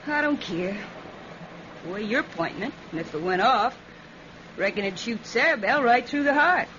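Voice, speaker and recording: A woman's voice: a little high, lively intonation, normal speed, fairly bright tone. An actress playing a callous, evasive farm wife and stepmother with a rural Southern American accent in a vintage radio drama. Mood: edgy. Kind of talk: 1940s radio drama acting. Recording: muffled, noisy old radio recording.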